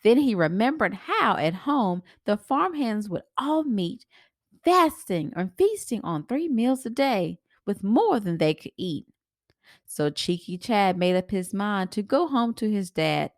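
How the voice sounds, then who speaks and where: A woman's voice: mid-range voice, very animated, unhurried, no room sound, clean studio sound. A woman reading a children's storybook aloud expressively, explaining things to young listeners like a teacher. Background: background music.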